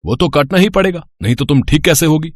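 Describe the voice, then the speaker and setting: A man's voice: fairly high pitch, lively intonation, fast. A man voicing a blunt, comically menacing village quack doctor in a cartoon story, with exaggerated delivery. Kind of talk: cartoon moral story acting.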